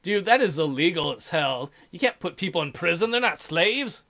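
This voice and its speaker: A man's voice: fairly high pitch, expressive, moderate pace, pushed and bright. A man hosting a let's play, reading a visual novel aloud and cracking crude, offhand jokes. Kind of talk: casual gameplay commentary.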